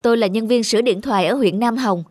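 A woman voicing an earnest, considerate male repairman in a cartoon, polite and measured with some hesitation. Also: a little high, a little mellow, dry recording, clean studio sound, natural voice. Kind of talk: cartoon dubbing.

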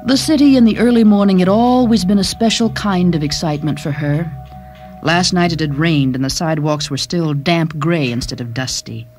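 A woman's voice: low-pitched, moderate pace, darkish tone. A woman reading scripted short-story narration as the narrator. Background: music.

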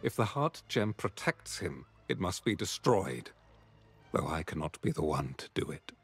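An actor playing a grave, dutiful knight in a video game, speaking formally and solemnly. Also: male voice, very deep voice, big pitch swings, normal speed, husky, exaggerated voice. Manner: formal, solemn, archaic phrasing. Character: grave, dutiful male knight ally giving a quest. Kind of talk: scripted video game quest dialogue. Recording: game music behind the voice.

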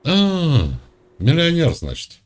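A man from Russia hosting a chat, needling and mocking the person he talks to in a deadpan way. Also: fairly high pitch, slow pace. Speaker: host. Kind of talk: mocking video-call chat.

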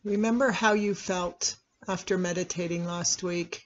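A meditation guide with a mid-pitched voice leads a practice slowly and deliberately. The phrases are instructional and set apart by long pauses. The recording sounds dull and lo-fi. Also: steady tone.

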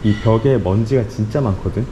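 Man chatting over clear location noise. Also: on the low side, fairly quick, dull audio. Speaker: vlog host and business owner. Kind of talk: casual on-site chat. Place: indoor shop under renovation and offices. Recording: noisy handheld camera location sound.

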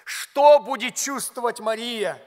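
A man in his forties preaching a sermon from a pulpit in a large church. He speaks a language that is not his first, with emphatic rhetorical questions and words dropped to a hush. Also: very high voice, slow pace. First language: not Russian. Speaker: pastor. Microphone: pulpit mic. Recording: long hall reverb.

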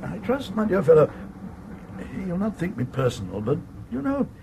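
A doddering, absent-minded old earl in a radio comedy, with an upper-class English accent. He stammers and mutters irritably in a fairly high, creaky voice that swings up and down. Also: wildly swooping pitch, moderate pace, warm tone, full character voice. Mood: affable, befuddled. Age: older. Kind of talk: 1980s radio comedy acting. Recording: noisy old radio drama recording.

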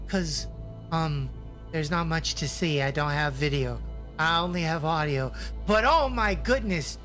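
A woman voicing an excitable, scatterbrained space station host who chats casually and rambles in a flustered way. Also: mid-range voice. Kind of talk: casual in-character host chat.

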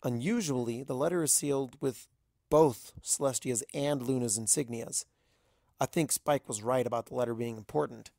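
A man narrates first-person fiction from a script. His mid-pitched voice has a noticeable creak, and the sound is dry and close. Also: moderate pace, warm tone, no echo. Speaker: audiobook narrator.

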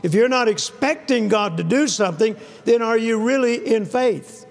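An older man preaches on stage, emphatic and repetitive, drawing amens from the crowd. His voice sits high for a man and has a gravelly edge. A lapel mic catches him, with a long reverb trailing through the big hall. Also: moderate pace, soft background music. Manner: emphatic, repeating key phrases, calls for amens. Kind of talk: sermon. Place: large church hall with a stage and live audience.

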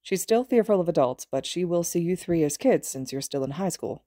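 A woman voicing a big, kindly adult male hero who speaks calmly and politely in a read-aloud story. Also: fairly low pitch, brisk, no room sound, studio quality, natural voice.

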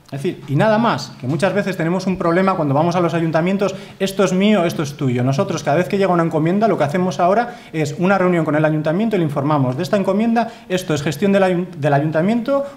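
A man's voice: sits high, quick pace, few pauses, darkish tone. A man in his thirties with a Spanish accent, giving a formal conference talk from a lectern in a lecture hall, earnest and emphatic, often adding tag questions. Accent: Spanish from Spain. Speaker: conference speaker. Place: wood-panelled lecture hall.

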